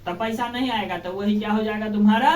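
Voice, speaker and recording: A man's voice: high voice, fast talker, darkish tone. A male teacher with a Bihari accent lectures in plain, everyday terms. He repeats key phrases and keeps putting prompting questions to his pupils. The sound is echoey, picked up at a distance from the microphone in a classroom. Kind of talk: classroom lecture explaining in simple colloquial terms.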